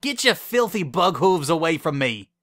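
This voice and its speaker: A man voicing an indignant female pony in a story, loud and accusatory in manner. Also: fairly high pitch, sing-song, moderate pace, voice pushed up. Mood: indignant, accusing.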